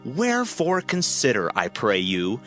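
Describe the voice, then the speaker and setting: A man's voice: medium pitch, wildly swooping pitch, unhurried, fairly bright tone, faint music behind. An actor playing a distressed, indignant king of Israel in a dramatized scripture reading, declaiming in anguish. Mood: anguished indignation.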